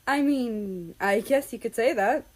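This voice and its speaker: A woman voicing a playful, excitable young man on a road trip in a story reading, casual and a little bashful. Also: a little high, measured pace, voice pushed up, lightly acted. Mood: amused, bashful.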